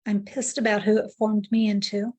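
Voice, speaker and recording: A woman's voice: mid-range voice, level tone, normal speed, a little mellow. A woman in her sixties, a client in an emotional tapping session, talking candidly and repeating affirmations back to her practitioner. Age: 60s. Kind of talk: echoing affirmations after the practitioner.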